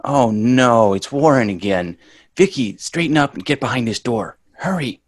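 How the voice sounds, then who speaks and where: An exasperated, sarcastic schoolboy from a sitcom, read by a man in a medium-pitched voice. He bosses people about with dry wisecracks, and the sound is close and dry. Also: expressive, moderate pace, no room sound, close to an everyday voice. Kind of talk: live sitcom table read.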